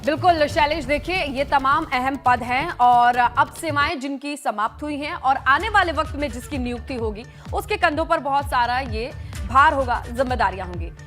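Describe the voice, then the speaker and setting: A woman's voice: high-pitched, moderate pace, fairly bright tone, faint music behind. A woman presenting television news as an anchor, in bulletin and handover style. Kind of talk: news presenting, scripted lines and handovers to reporters.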